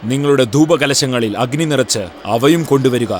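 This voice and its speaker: A man voicing a solemn, stern prophet who proclaims with authority in a dubbed animated Bible drama. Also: medium pitch, normal speed, close to an everyday voice. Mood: solemn, proclaiming.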